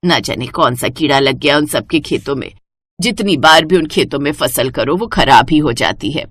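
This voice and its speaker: Old village mother-in-law , played by a female narrator: low, weary, sighing, worried, for a cartoon tale. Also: lively intonation, moderate pace, fairly bright tone, husky, dry recording, clean studio sound, exaggerated voice. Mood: weary, sad. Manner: sighing, lamenting.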